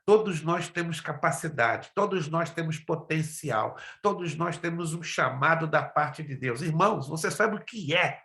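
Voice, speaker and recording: A man's voice: a little high, animated, normal speed, few pauses, pushed and bright. A man from Brazil with Spanish as his native language and a Brazilian accent, teaching like a preacher, emphatic and exhorting, repeating phrases and putting questions to his listeners. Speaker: pastor teaching a theology class. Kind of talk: preacherly lesson with personal testimony. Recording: roomy, echoing sound.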